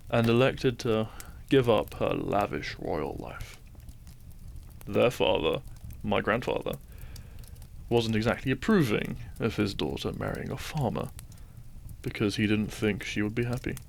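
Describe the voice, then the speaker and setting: A man playing a gentle, devoted doctor and groom in a romantic audio roleplay, soft-spoken and measured. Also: lowish voice, gravelly.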